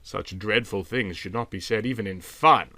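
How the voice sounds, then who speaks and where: A man narrating a literary story from a script in a dramatic, expressive storytelling manner. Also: on the low side, moderate pace, dry recording. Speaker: audiobook narrator.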